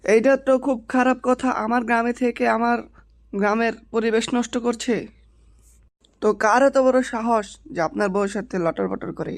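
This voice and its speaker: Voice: mid-range voice, fairly even intonation, normal speed, no echo, studio quality, lightly acted. A performer voicing a stern, bossy male village headman, authoritative and threatening, in a comic Bengali drama style.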